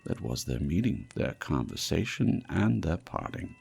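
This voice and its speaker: Male audiobook narrator, low and husky, dramatic storytelling, music underneath. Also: sing-song, unhurried, a little mellow. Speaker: audiobook reader. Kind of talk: dramatic scripted narration of a classic ghost story.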